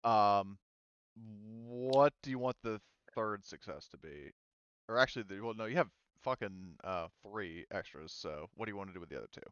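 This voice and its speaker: Smooth clear guy game mastering, casual n sweary, bit dull dry audio. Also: fairly low pitch, normal speed, a bit bright, no room sound. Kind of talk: running an online tabletop roleplaying game.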